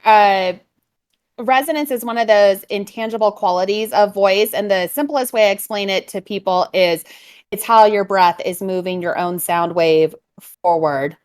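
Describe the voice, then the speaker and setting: A woman voice coach from California with a little vocal fry, explaining vocal technique at length in a casual conversation, with frequent "um"s. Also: mid-range voice, normal speed, darkish tone. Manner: fluent, explanatory, many "um"s.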